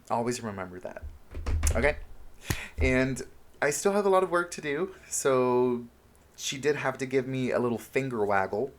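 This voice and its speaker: A man talking casually and openly to his viewers as a self-help vlogger, with an earnest, encouraging, informal manner. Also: mid-range voice. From Honduras, moved to the United States at seven. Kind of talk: casual confessional vlog talk to viewers.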